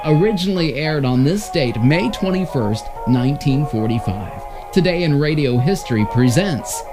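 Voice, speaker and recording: Lively male presenter over music. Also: fairly high pitch, moderate pace. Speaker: presenter of an old radio showcase. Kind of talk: scripted narration.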